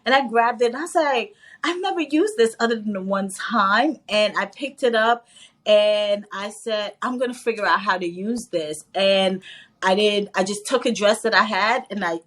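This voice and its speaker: A woman's voice: medium pitch, normal speed. Candid woman from Guyana telling stories. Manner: warm, candid, laughs often, animated storyteller. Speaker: fashion designer, guest. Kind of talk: casual interview storytelling.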